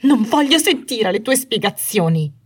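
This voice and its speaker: A woman's voice: a little high, very animated, moderate pace, husky, pitched above the performer's own voice. A woman narrator voicing a bitter young wife in an audiobook story, menacing and manic with jealousy, snarling and trembling with cold fury. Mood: menacing, cruel. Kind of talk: dramatised audiobook dialogue.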